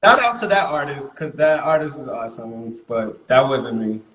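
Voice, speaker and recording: A man's voice: mid-range voice, normal speed, fairly bright tone, reverberant, slight background noise, low-quality recording. A man from Queens, a guest artist talking casually and emphatically, repeating himself and using street slang. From Queens, New York. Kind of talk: casual interview chat.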